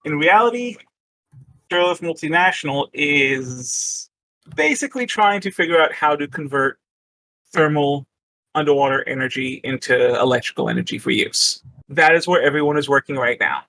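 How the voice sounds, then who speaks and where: A man's voice: a little high, animated, normal speed, pushed and bright, dry recording, poor audio. A man running a tabletop horror game, setting the scene in a loose, rambling way full of fillers. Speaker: game master. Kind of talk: casual tabletop game narration.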